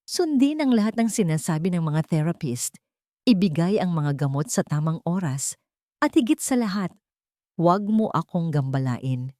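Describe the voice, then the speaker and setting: A woman's voice: fairly low pitch, sing-song, normal speed, dark tone, no room sound, clean studio sound. A woman voicing a cold, curt billionaire father who gives stern, emphatic orders in a drama story. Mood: stern, irritated.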